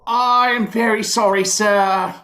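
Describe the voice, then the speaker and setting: An actor playing a meek, apologetic male clerk in a radio play, timid, halting and deferential. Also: medium pitch, fairly even intonation, sharp tone, echoey room, slight background noise. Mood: apologetic, nervous.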